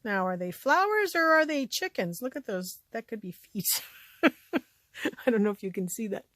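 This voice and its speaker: A woman with a scratchy voice chatting casually as she shows off a thrift haul, often trailing off into quiet asides. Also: a little high, lively intonation, moderate pace, no room sound, clean studio sound. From Canada. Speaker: vlogger showing a thrift haul. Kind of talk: casual show-and-tell chat.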